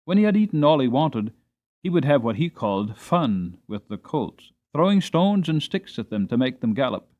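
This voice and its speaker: A man narrating a storybook dramatically, with deliberate, expressive phrasing. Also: mid-range voice, dull audio. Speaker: audiobook narrator.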